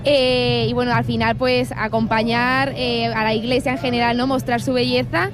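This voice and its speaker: A woman's voice: on the high side, little pitch movement, normal speed. A young woman from Valencia with a Spain Spanish accent, giving casual, hesitant answers with checking tags. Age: young adult. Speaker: interviewee. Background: music.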